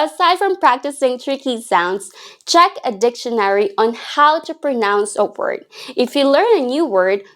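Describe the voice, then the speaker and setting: A woman teaching English in a video lesson, speaking in a clear, encouraging, instructive way. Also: on the high side.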